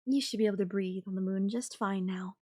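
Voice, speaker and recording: A woman voicing a whimsical, flippant goddess in an ASMR roleplay, musing airily with long dramatic pauses. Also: mid-range voice, steady tone, a little mellow, lightly acted. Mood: playful, friendly. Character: whimsical, airy, flippant yandere goddess watching Earth die. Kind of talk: ASMR audio roleplay acting.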